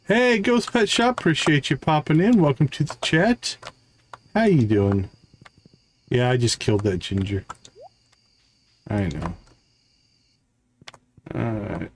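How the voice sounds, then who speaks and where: Slow-talking man streaming a video game. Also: medium pitch, slight background noise. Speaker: livestream gaming host. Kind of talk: casual live gameplay commentary, thinking aloud.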